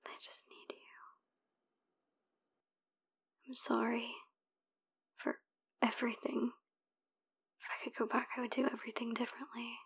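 A woman playing a heartbroken young woman who leaves a hushed, pleading voicemail for her ex, swearing in frustration. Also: on the high side, flat delivery, unhurried, gravelly, pauses often, breathy edge, whispered, lo-fi sound, exaggerated voice. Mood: soft pleading. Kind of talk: whispered audio roleplay voicemail.

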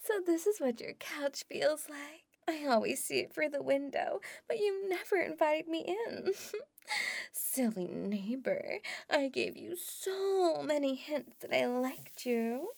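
A woman's voice: sits high, animated, slow pace, gravelly, no room sound, exaggerated voice. A woman playing a tipsy, doting older neighbor. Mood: tipsy flirty. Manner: soft flirty coaxing, slipping into whispers. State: a little drunk. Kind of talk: audio roleplay.

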